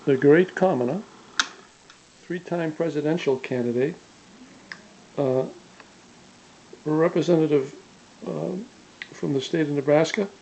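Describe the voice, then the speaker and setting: A man casually introducing an old record as a collector, speaking in a hesitant, halting way on a home recording. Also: a little high, creaky, dark tone, lo-fi sound, a little hiss or hum.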